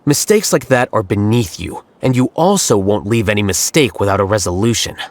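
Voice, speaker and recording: A man voicing a cool, aloof young scholar who speaks in a calm, detached and analytical way. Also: fairly low pitch, brisk, dry recording, close to an everyday voice. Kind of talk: game voice acting.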